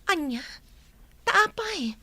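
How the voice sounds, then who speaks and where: A woman's voice: very high-pitched, sing-song, drawn-out words, bright, forceful tone, dry recording, full character voice. A woman playing a young, agitated, questioning daughter in a radio drama. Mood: anxious, puzzled. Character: young, anxious daughter of the household. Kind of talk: Ilocano radio drama acting. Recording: music behind the voice.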